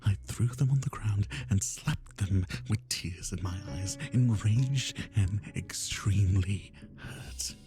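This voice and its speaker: A man playing an obsessive, lovesick kidnapper in an intimate roleplay ASMR monologue, speaking in a confiding, anguished and menacing way, often dropping into a breathy whisper. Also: on the low side, slow pace, few pauses, music in the background. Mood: hurt, seething. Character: obsessive, lovesick male yandere kidnapper, tender yet menacing.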